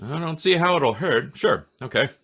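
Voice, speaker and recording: An easygoing homicide inspector from a radio mystery, played by a man, with a forceful edge and lively pitch movement. He comes across as wry and plain-spoken. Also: normal speed, breathy edge, heavily acted. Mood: matter-of-fact. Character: gruffly easygoing male homicide police inspector. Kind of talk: old-time radio detective drama acting.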